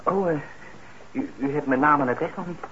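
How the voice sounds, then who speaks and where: An actor in a radio play as a shy, eager young working-class lad who stammers and hesitates. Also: male voice, a little high, normal speed, fairly bright tone, lightly acted. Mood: nervous, grateful. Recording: dull, noisy old radio recording.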